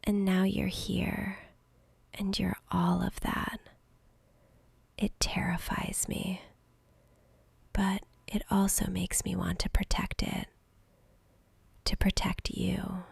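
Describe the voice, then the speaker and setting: A woman's voice: medium pitch, flat delivery, creaky, breathy edge, no room sound, exaggerated voice. A woman voicing a lonely, centuries-old vampire girl in an intimate ASMR roleplay, whispering breathily and close to the mic with tender, hesitant longing. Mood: vulnerable, confessing. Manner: slow, intimate, hesitant pleading. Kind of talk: whispered soft-spoken ASMR romantic roleplay.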